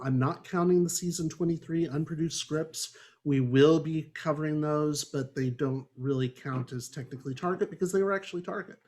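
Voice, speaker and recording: A man's voice: a little high, darkish tone. A man hosting a podcast, reading a prepared introduction to a book discussion with wry, dry asides. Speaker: podcast host. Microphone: online group call.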